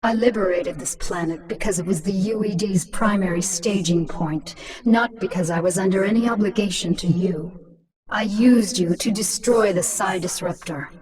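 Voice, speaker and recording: A woman's voice: medium pitch, normal speed, darkish tone, lightly acted. A woman as a ruthless alien queen, distant-sounding. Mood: cold, gloating. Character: ruthless commanding alien queen, cold and scheming. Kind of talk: dramatic video game voice acting.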